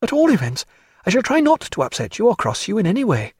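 Brief: man playing proud sick surgeon, husky, wry and warm-toned, lively delivery. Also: sits high, fast, no room sound, studio quality, voice pushed up, full character voice. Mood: tender, wry.

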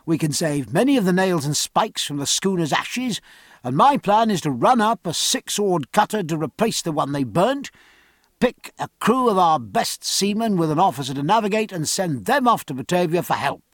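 A man's voice: high-pitched, big pitch swings, moderate pace, dry recording, studio quality, pitched above the performer's own voice. A man voicing a bold, commanding sea captain in an audiobook, speaking in hearty, emphatic speeches to his crew. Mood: confident, rousing. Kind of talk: audiobook character acting.

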